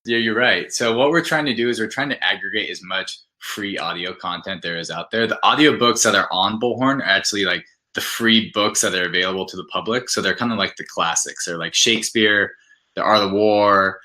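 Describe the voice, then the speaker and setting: A man's voice: lowish voice, fairly quick, bright, forceful tone. A man speaking as an interview guest, explaining his product in an enthusiastic, conversational way and laying out points one by one.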